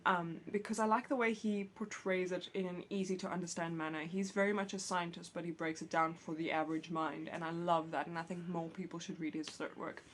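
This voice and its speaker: Woman vlogger, lower voice, a bit phlegmy from a cold, casual and chatty, faint hum behind. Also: steady tone, a little fast, few pauses. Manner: chatty, candid, restarts and swears casually. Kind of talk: casual talk to camera. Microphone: camera mic, reading with books on her lap.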